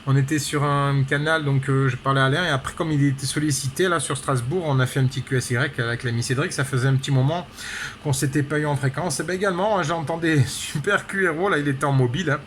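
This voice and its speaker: A man's voice: fairly high pitch, fast talker, a bit bright, slight background noise. A man chatting casually as an amateur radio operator, rambling along with many repeated tag words. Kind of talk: casual radio contact chat.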